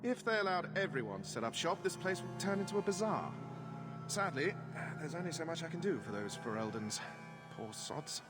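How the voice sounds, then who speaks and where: A man's voice: fairly high pitch, big pitch swings, moderate pace, over loud music. An actor playing a self-serving merchant in a video game, unctuous and defensive in his talk. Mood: smug. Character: slick, self-serving, apologetic male merchant. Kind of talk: video game dialogue acting.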